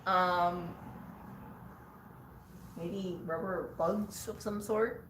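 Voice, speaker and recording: A woman's voice: fairly low pitch, fairly even intonation, very slow, a bit bright, roomy sound, a little hiss or hum, low-quality recording. A woman co-hosting a live stream, chatting casually and teasing her co-host, laughing often.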